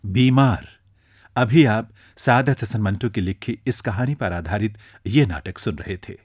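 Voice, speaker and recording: A man's voice: fairly low pitch, slightly rough, dry recording, studio quality. A man reading a formal scripted announcement as a radio announcer.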